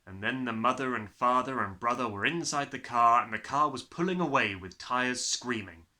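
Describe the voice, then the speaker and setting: A man narrates a children's story with animated, swooping intonation. His mid-pitched voice is bright and forceful, and his reading is expressive. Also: moderate pace.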